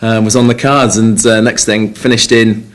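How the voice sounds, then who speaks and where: Young North Wales man, rugby player at a presser, low-ish voice, halting and full of "you know". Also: flat delivery. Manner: hesitant, many fillers and restarts. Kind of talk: press conference interview.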